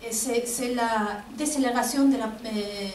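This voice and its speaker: A woman's voice: on the high side, fairly even intonation, unhurried, a little mellow. A woman from Spain, answering audience questions as a guest professor in an auditorium, hesitant with many fillers and a frequent "non?" tag. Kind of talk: lecture Q&A answers. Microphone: distant. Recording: echoey hall, distant mic, faint noise.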